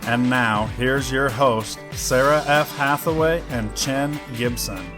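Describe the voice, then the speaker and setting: A man's voice: mid-range voice, slow. A man reading a scripted introduction as a podcast announcer. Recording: music under the voice. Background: plucked guitar music.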